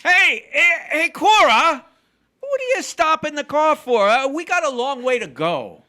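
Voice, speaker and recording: High, swooping jovial tipsy husband played by a man. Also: sing-song, measured pace, sharp tone, full character voice. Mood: tipsy, puzzled. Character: Nick, jovial tipsy husband, eager and trusting. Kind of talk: 1940s radio acting.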